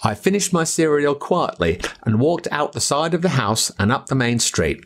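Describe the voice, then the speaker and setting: An Australian man narrates a storybook as dramatic first-person recollection. His mid-pitched voice moves up and down in a lively way, with an expressive, suspenseful delivery. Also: moderate pace. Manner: expressive, suspenseful storytelling as a boy recalling events. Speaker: audiobook narrator.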